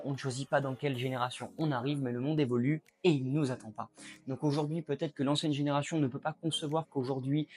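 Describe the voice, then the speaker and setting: A man's voice: on the high side, darkish tone. A man in his late twenties talking casually to the viewer as he explains ideas at a whiteboard, reflective and direct. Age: late 20s. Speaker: YouTuber.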